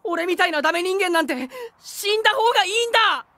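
A woman's voice: very high voice, lively intonation, fast talker, bright, forceful tone, no room sound, full character voice. A woman playing an emotional, boyish teenage schoolboy in an anime audio drama. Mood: anguished. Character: teenage schoolboy, melodramatic, self-loathing, boyish.

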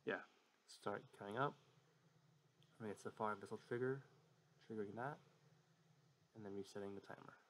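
A young man giving a casual, unscripted software walkthrough at his desk at home, hesitant and apologetic in manner. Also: fairly low pitch, normal speed, long pauses, a little hiss or hum, poor audio. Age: young adult. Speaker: learner presenting a tutorial. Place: indoor room at home.

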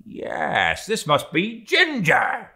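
A man voicing a bluff ship's mate, loud and sarcastic, bright voice, swoops high. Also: wildly swooping pitch, very slow, creaky, full character voice. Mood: indignant mockery. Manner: loud, blustering, sarcastic questioning. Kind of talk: audiobook character voicing.

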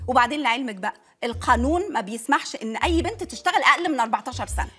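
An actress playing a calm, reasonable neighbor woman who coaxes and lectures in an Egyptian accent. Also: high-pitched, rapid-fire, husky, soft background music, exaggerated voice. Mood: coaxing. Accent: Egyptian Arabic. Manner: coaxing, lecturing. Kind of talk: comedy sketch.

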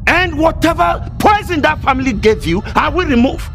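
An actor playing a proud, quarrelsome middle-aged uncle in a film drama, with a Nigerian accent and an indignant, threatening delivery. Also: male voice, a little high, huge pitch swings, soft background music, heavily acted. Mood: enraged. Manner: indignant, insulting, drawn-out delivery.

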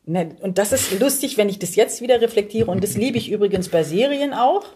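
A woman's voice: medium pitch, lively intonation, a little fast, mellow, husky. A middle-aged woman from Berlin chatting casually, telling stories in looping, unfinished sentences. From Berlin, Germany. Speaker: podcast guest, performer. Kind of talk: casual chat.

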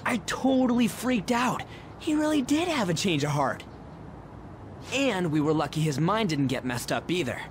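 A man's voice: high-pitched, big pitch swings, moderate pace, a little hiss or hum. An actor playing a brash, slangy, good-hearted teenage delinquent boy in an English video game dub. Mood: excited.

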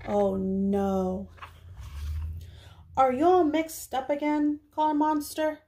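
A young woman, a preschool teacher, speaking to small children in a deliberate read-aloud style with drawn-out words. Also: sits high, steady tone, mellow. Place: small indoor room.

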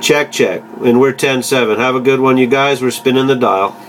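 A man from Canada talks casually as a CB radio operator. He has a mid-pitched voice and a folksy way with call signs and stock sign-offs. There is faint noise in the room recording. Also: moderate pace. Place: indoors beside a CB radio set.

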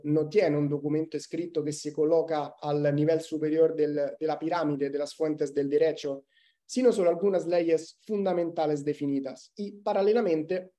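A man in his thirties with an Italian accent, giving an academic lecture in a second language over a video call, measured and with small restarts. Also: a little high, warm tone. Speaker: law lecturer. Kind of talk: academic webinar talk. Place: indoor room at home, video call. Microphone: webcam on video call.

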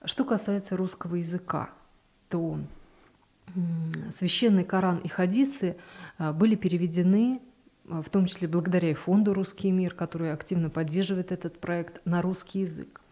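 A middle-aged woman hosting a studio interview at a desk microphone, calmly leading with questions. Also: fairly low pitch, moderate pace. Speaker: interview host. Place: small television studio. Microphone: desk mic on stand.

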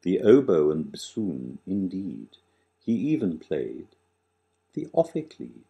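A man in his sixties, an author reciting his own satirical rhyming verse with dramatic, deliberate emphasis and sudden swells and drops. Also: sits low, wildly swooping pitch, creaky, warm tone. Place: small living room, on a sofa, indoors.

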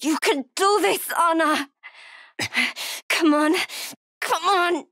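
A woman's voice: very high-pitched, slow pace, sharp tone, no echo, studio quality, exaggerated voice. An actress playing a frightened young woman survivor in a horror video game, urgent and pleading. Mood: pained, determined. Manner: breathy urgent self-talk, pleading.

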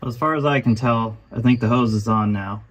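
Calm man narrating an engine repair. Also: mid-range voice, a little fast, slight background noise. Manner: calm, matter-of-fact explaining. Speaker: host of a car restoration channel.

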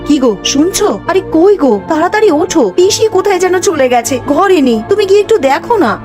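A woman voicing a dutiful, anxious young village housewife in a cartoon, earnest and expressive. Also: very high-pitched, quick pace, over music. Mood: busy, worried. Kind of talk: Bengali animated ghost-story voice acting.

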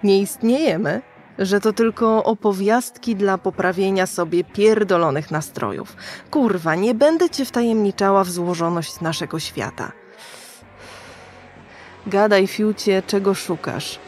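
An actress playing a brash, crude, streetwise prostitute in a dystopian audio drama, mocking and slangy. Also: female voice, mid-range voice, unhurried, a little mellow, a little hiss or hum, natural voice. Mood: hostile, suspicious. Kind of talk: radio drama acting.

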